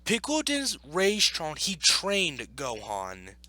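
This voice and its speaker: A man's voice: fairly high pitch, wildly swooping pitch, slow, bright, forceful tone, a little hiss or hum. A man giving casual reaction commentary as he reads, in an exasperated, argumentative way. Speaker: YouTube commentator.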